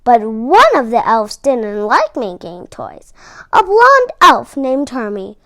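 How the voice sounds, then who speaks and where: High, animated woman reading to children. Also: dry recording. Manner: animated, deliberate, dramatic emphasis. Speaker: storybook reader for children. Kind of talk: expressive read-aloud storytelling for children.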